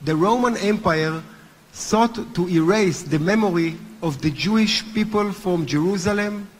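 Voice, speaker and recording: A man with a heavy Hebrew accent, a native Hebrew speaker, gives an earnest formal speech as chairman of a movement at a conference. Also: high voice. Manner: earnest, deliberate, with occasional restarts. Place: large hotel conference hall. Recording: long hall reverb.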